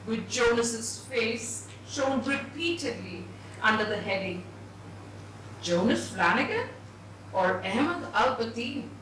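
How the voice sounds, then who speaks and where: A woman giving a deliberate, dramatised reading of literary fiction. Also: on the high side, pushed and bright. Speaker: reader at a book event. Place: indoor event venue before an audience. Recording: very echoey, distant, faintly noisy hall.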